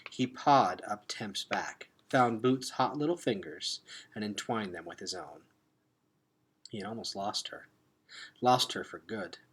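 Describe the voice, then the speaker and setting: A man reading a children's novel aloud with expressive, dramatic emphasis, sometimes hushed. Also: on the low side, unhurried, husky. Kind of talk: expressive storybook read-aloud.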